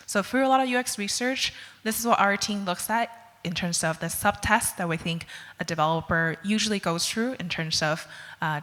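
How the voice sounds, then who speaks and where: A woman's voice: lowish voice, a bit bright. A woman from Taiwan in her thirties, a product manager giving a friendly conference talk with frequent "uh" fillers. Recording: long hall reverb.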